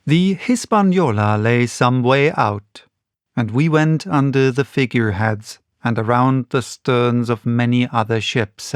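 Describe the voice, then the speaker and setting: A man reading a classic story as scripted narration, deliberately and in short measured phrases. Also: medium pitch, no echo, clean studio sound. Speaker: audiobook narrator.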